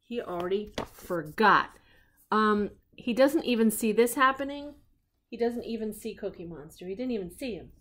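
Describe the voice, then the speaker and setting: A woman's voice: mid-range voice, lively intonation, normal speed, a bit bright, a little hiss or hum. A middle-aged woman reading a children's story aloud expressively, with chatty asides to young listeners. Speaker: grandmother reading stories on her own show. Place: living room at home.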